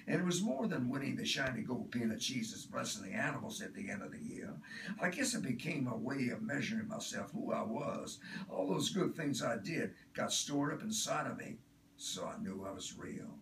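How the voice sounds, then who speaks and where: A man's voice: medium pitch, creaky. A man performing a dramatic monologue as an earnest, chatty, self-absorbed do-gooder, speaking in a confiding, emphatic way. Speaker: author reading own work. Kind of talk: dramatic first-person monologue reading. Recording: roomy, distant mic, faint noise.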